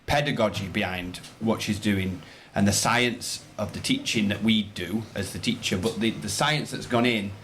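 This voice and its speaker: A man's voice: lowish voice, normal speed, few pauses, fairly bright tone, slight background noise. A man speaking as a teacher in an interview, enthusiastic and rambling, often repeating his phrases. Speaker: primary school teacher, interview guest. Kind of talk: conversational interview answers.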